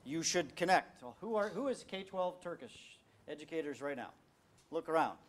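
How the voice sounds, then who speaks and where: Energetic man answering audience questions. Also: on the high side, moderate pace. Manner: energetic, rallying, restarts often. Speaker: panel speaker at education summit. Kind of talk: live Q&A answers on stage. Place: conference hall with audience.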